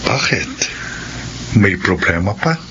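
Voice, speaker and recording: A calm, helpful Filipino parish priest speaks in a low voice in a radio drama. The recording is muffled, with noticeable noise. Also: male voice, slow, exaggerated voice. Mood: calm, assuring.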